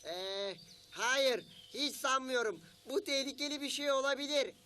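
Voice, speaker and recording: A man's voice: very high-pitched, measured pace, bright, forceful tone, heavily acted. A man voicing a young, playful but cautious animal in a children's cartoon, in a hesitant, childlike way.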